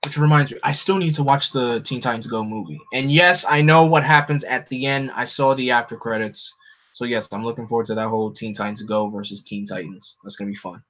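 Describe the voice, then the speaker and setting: A man hosting a casual live stream, talking in an opinionated, animated way that jumps up in pitch when he gets excited. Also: a little fast. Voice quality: no regional accent. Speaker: streamer host. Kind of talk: casual chat to a live audience. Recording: dull lo-fi home stream audio.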